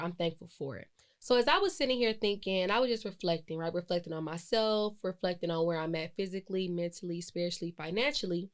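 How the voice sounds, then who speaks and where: A woman, hosting a solo podcast in a chatty, reflective way, with many fillers and self-corrections. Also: fairly low pitch. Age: 20s. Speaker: podcast host. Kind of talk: casual solo podcast monologue.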